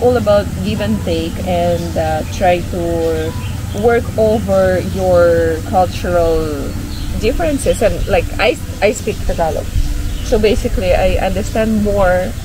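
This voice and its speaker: Chatty woman talking in a pool. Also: mid-range voice. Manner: rambling, many fillers and restarts. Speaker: interviewee, channel host. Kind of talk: casual interview chat. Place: outdoor resort swimming pool, in the water. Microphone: camera mic, medium distance. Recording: outdoor pool with clear background noise.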